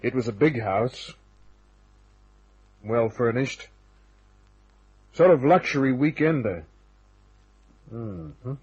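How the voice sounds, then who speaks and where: An actor playing a tough, cynical private detective in an old-time radio drama, talking in a wry, casual, laconic way with a rough, husky voice. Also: male voice, mid-range voice, expressive, takes it slowly, long pauses, a little mellow, dull audio. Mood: dry narration. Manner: wry, casual, hard-boiled.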